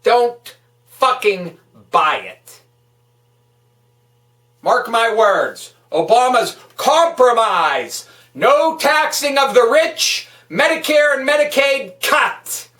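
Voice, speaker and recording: Echoey, bright-voiced woman on an angry rant. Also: a little high, sing-song, slow pace. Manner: emphatic, profane, hectoring. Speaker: political vlogger. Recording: echoey room, distant microphone.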